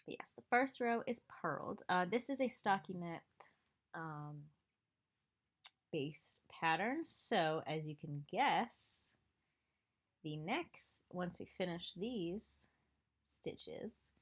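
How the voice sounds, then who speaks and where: A woman's voice: fairly low pitch, animated, slow pace. A woman with a nasal voice from a cold, giving a casual step-by-step knitting tutorial, counting stitches aloud with many fillers. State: sick and nasal. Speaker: knitting instructor.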